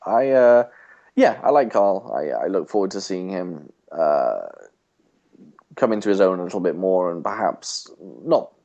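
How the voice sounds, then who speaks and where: A man co-hosting a podcast, casually discussing a TV show in a halting, thinking-aloud way. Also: low voice, animated, dark tone, lo-fi sound. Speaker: podcast co-host.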